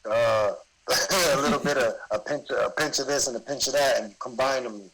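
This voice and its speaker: A laid-back man in his forties chats as a podcast guest, dropping casual profanity and chuckling along. His voice sits at an ordinary middle pitch for a man. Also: normal speed, a bit bright, poor audio. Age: 40s. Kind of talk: casual chat. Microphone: remote voice chat.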